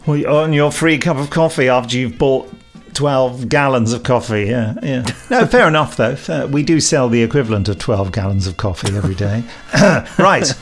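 A man of middling pitch hosts a podcast as casual chat. He is jokey and self-deprecating, often chuckling through his asides. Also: moderate pace, soft background music. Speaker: podcast host.

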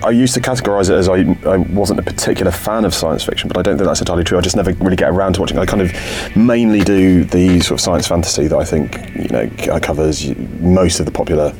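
A male writer with a very low voice talks discursively in an interview, qualifying his points as he goes. Soft music sits underneath. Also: fast. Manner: discursive, self-correcting. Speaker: scriptwriter. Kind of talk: behind-the-scenes interview talk.

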